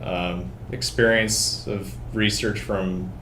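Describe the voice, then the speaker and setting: A young Canadian man answering interview questions in a measured way, with many hesitations. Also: fairly low pitch, steady tone, fairly bright tone, echoey room, slight background noise, rough recording. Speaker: panel guest, researcher.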